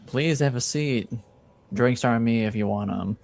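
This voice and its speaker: A man's voice: medium pitch, moderate pace, gravelly, a little mellow, natural voice. A man voicing a laconic, easygoing, shady tavern informant in a tabletop game, casual and cagey.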